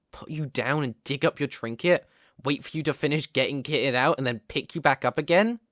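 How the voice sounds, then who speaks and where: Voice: mid-range voice, dry recording. Sardonic vampire noble, close and dry performed by an actor. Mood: exasperated. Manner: teasing, sardonic, foul-mouthed, with sighs and chuckles. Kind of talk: ASMR roleplay, one-sided character dialogue.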